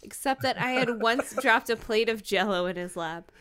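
A woman's voice: on the high side, normal speed, fairly bright tone, clear, resonant tone. A woman co-hosting a podcast, reading a novel aloud and adding wry, irreverent asides. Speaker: podcast co-host.

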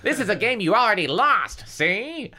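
A man voicing a wild, menacing gunman in a thriller story, hoarse and growling. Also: high-pitched, big pitch swings, normal speed, sharp tone, pitched above the performer's own voice, full character voice.

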